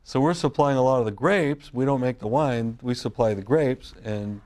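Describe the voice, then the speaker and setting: A man's voice: mid-range voice, moderate pace, darkish tone. A middle-aged man from California, a wine grape grower, telling long family stories in a casual interview with frequent restarts. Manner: rambling, restarts sentences often.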